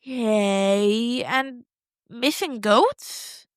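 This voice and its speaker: A woman's voice: on the high side, extremely slow, clear, resonant tone, no room sound, voice pushed up. A woman voicing an anxious, incredulous young girl in an audio story, questioning and exclaiming. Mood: reluctant, nervous.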